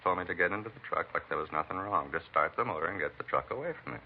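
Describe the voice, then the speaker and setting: A man's voice: sits low, expressive, fast talker, slightly rough, heavily acted. An actor playing a beaten, talkative American truck driver in a vintage radio drama, rambling and folksy in plain working-man talk. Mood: earnest recounting. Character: beaten truck driver, talkative, folksy, eccentric self-styled bump-reader. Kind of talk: 1940s-50s radio acting. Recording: muffled vintage radio recording, faint hiss.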